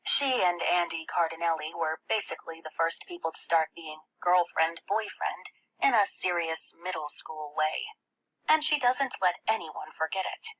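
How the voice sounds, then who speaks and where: A woman reading a children's novel aloud in the first person, as an expressive audiobook narrator. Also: on the low side, moderate pace, rough recording. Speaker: audiobook reader.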